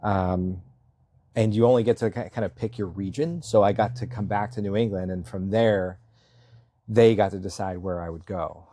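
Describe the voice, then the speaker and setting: Low-voiced man, rambling and chuckling. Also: fairly even intonation, normal speed, mellow, dry recording. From Massachusetts. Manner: rambling, self-deprecating, laughs often, many fillers. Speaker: selectboard candidate, guest. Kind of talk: casual interview talk.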